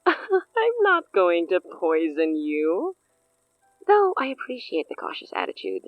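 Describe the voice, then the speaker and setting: An actress playing a poised, teasing society hostess with veiled menace in an audio drama. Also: female voice, on the low side, wildly swooping pitch, slow, dry recording, narrow, tinny audio, faint music behind, heavily acted. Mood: amused, teasing. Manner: arch, theatrical, veiled menace.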